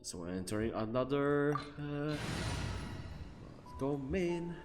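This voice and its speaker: Slow, offhand male gamer commentary. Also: mid-range voice, big pitch swings. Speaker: gamer, streamer. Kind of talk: casual game commentary. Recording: game music behind the voice.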